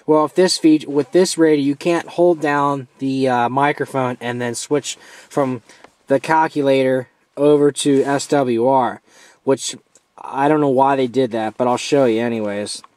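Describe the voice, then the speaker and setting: A man giving a casual, hands-on product review as an amateur, informal and halting as he steps through features. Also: mid-range voice, fairly even intonation, darkish tone, no echo.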